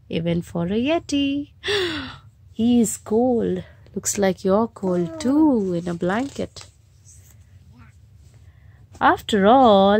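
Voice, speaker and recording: Woman, storybook narration for small children, unhurried, dry close sound, questioning the listeners. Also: mid-range voice, no room sound. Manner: asks the children questions while reading. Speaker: storybook reader and host of children's story time. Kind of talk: read-aloud picture book narration for young children. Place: home bedroom, indoors.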